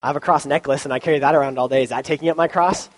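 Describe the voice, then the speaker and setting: A young man gives a relaxed youth sermon into a microphone, a little stuffy from a cold. His delivery is informal and conversational, full of fillers and asides. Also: a little high, rapid-fire. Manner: informal, chatty, many ums and likes. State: has a cold, sniffly. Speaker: youth pastor. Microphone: handheld or stand mic.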